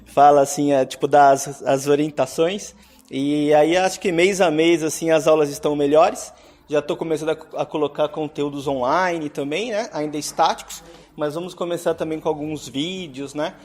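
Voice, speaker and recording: Man, slightly high voice, chatty, friendly self-introduction. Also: fairly even intonation, normal speed, darkish tone. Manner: chatty, tags sentences with "né". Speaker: English teacher. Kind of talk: introducing himself at a meeting. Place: meeting room with a group.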